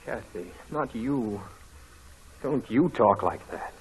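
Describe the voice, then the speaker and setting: A man's voice: mid-range voice, animated, slow. On a slightly noisy old radio recording, a brooding young stable hand in love. He speaks with wounded pride and commanding intensity, in the manner of 1940s radio drama. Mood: hurt, bitter. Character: brooding, proud young stable boy, possessive, wounded lover.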